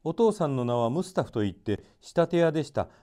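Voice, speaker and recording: A man's voice: on the high side, very animated, quick pace, mellow, voice pushed up. A man voicing a young, idle boy who answers in a plain, innocent and forthright way. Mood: frank, innocent.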